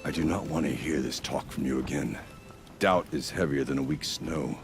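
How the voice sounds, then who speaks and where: Very deep, stern war chieftain. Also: male voice, normal speed, music in the background. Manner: grave, terse, commanding. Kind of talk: video game voice acting.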